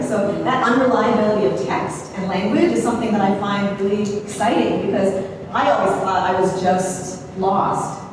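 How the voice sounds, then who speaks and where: Canadian woman, reflective artist talk, lots of uh pauses, booming room, noisy. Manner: reflective, unhurried, with frequent uh pauses. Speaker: visiting artist. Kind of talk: artist conversation in a gallery. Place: gallery space, indoors. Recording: echoey hall from far off, noisy.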